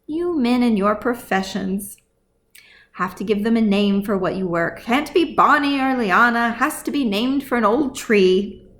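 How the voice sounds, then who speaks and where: A woman voicing a kind but bossy dwarf widow in a fantasy audiobook, brisk, assured and folksy. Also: fairly high pitch, natural voice. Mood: teasing scolding. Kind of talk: audiobook character acting.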